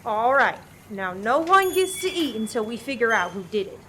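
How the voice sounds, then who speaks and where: A woman's voice: on the high side, sing-song, normal speed, bright, forceful tone, a little hiss or hum. A woman playing a bossy, no-nonsense diner manager in a farcical radio play, commanding and official. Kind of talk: farce radio play acting.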